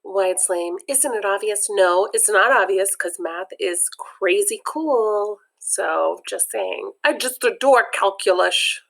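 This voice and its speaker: A woman's voice: mid-range voice, slow pace, vocal fry, hardly any pauses, fairly bright tone. A woman reading a children's comic book aloud, breaking off now and then to add her own chatty comments. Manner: chatty, adds personal comments between passages. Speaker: read-aloud narrator.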